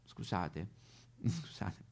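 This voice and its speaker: A man's voice: fairly low pitch, big pitch swings, very slow, creaky, heavily acted. A man playing an aggrieved, neglected female Madonna statue in a satirical stage monologue, plaintive and melodramatic with a Roman accent. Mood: weary, complaining. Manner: melodramatic, plaintive, sudden swoops into wailing. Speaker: comic actor.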